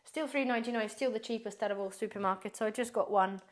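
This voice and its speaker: A woman prepper vlogger chatting casually through a grocery haul, rambling, addressing viewers as guys, her voice slightly hoarse. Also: mid-range voice, quick pace. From based in the UK.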